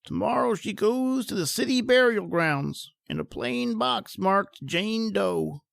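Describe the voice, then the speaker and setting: Lady doing wry morgue attendant in old radio mystery, gravelly creak, swoopy delivery, deliberate. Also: medium pitch, lively intonation, no echo, studio quality, exaggerated voice. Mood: wry, matter-of-fact. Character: knowing morgue attendant, wry and quietly insistent. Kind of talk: 1940s radio drama acting.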